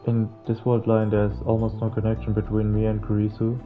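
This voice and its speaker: A man reading visual novel text aloud as a playthrough host, stumbling over words now and then in a halting way. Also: on the low side, monotone. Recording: muffled lo-fi mic, music behind. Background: game music.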